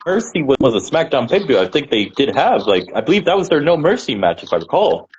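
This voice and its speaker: A man's voice: mid-range voice, big pitch swings, fast, slightly rough, faint music behind. A man hosting a casual chat show and talking through past matches in an easygoing way. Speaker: podcast host. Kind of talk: casual chat about wrestling. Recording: dull lo-fi sound with faint noise.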